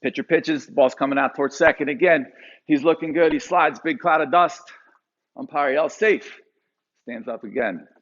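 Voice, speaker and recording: A man in his forties from Pennsylvania, telling a personal story to a live audience with animated delivery and frequent fillers. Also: fairly high pitch, moderate pace, lo-fi sound. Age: 40s.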